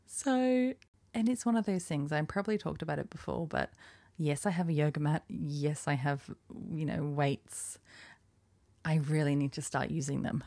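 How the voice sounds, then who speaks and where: A young woman in her twenties talks through a solo podcast in a low, unhurried voice. She is chatty and self-deprecating, laughing at herself as she goes. The sound is close and dry, with no room around it. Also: mellow, no room sound. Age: 20s. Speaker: podcast host. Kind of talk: casual solo podcast chat.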